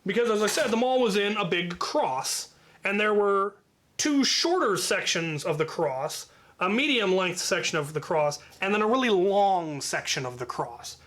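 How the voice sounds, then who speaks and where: A man telling a story in a casual, rambling way as host, leaning deliberately on his key points. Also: sits high, animated, fairly bright tone.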